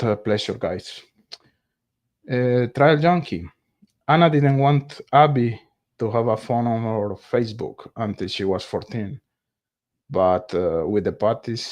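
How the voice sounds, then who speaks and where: A man who is not a native English speaker, hosting a casual live stream, reading viewer comments aloud and pausing often to search for words. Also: medium pitch, a little mellow. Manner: halting, searches for words, many fillers. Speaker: livestream host reading viewer chat.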